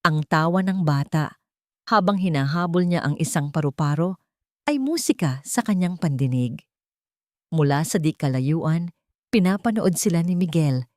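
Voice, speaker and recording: A woman tells a melodramatic story as a scripted narrator. Her voice is a little low in pitch. The recording is very dry and close, made as a voice-over. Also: dark tone, dry recording.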